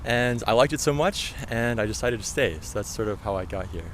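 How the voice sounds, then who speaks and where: A man's voice: on the low side, lively intonation, a little fast. A man in his twenties with an American accent, speaking casually in a street interview. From Tennessee, United States. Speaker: foreign interviewee. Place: outdoors on a city street. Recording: outdoor street, faint traffic noise.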